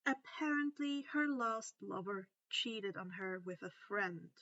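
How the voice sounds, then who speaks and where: A woman's voice: mid-range voice, expressive, a little slow, fairly bright tone, muffled recording. A woman voicing a stern, irritable male teacher with a growling, scornful manner in a story reading. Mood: grudging. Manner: growling, clipped disdain.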